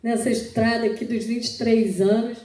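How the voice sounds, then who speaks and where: A Brazilian woman hosting a poetry evening on a small auditorium stage, speaking slowly and deliberately. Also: a little high, fairly even intonation, mellow, slight background noise. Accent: Brazilian Portuguese. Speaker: event host. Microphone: stand mic, recorded by a far camera. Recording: very echoey hall, far off, guitar behind.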